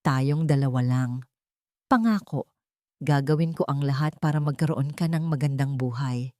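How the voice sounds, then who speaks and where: A tender, resolute young mother in a storybook drama, voiced by a woman narrator. Her voice is low, in a dry recording. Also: moderate pace, darkish tone, no echo.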